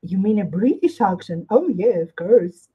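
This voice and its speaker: A woman with a Greek accent and a husky, grainy voice at a medium pitch. She talks casually to viewers while demonstrating a craft, often repeating and rewording her explanations. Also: animated, unhurried, muffled recording. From Greece. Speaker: craft tutorial host.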